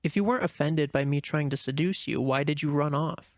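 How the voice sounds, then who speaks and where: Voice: mid-range voice, fairly quick, no room sound, studio quality, lightly acted. A man voicing a feisty small female rabbit, blunt and stubborn, in a dramatic reading of a screenplay. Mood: indignant, challenging.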